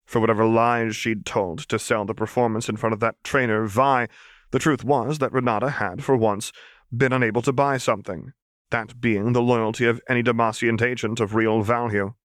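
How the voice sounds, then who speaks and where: A man's voice: mid-range voice, steady tone, normal speed, no room sound, clean studio sound. A man reading dramatic fiction aloud as an audiobook narrator.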